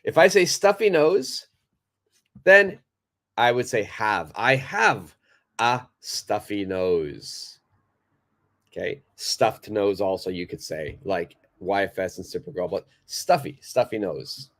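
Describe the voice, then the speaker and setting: A man teaching English in a live online lesson, who speaks slowly and deliberately, repeats key words for his learners, and has a stuffed-up nose. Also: on the low side, animated, fairly bright tone.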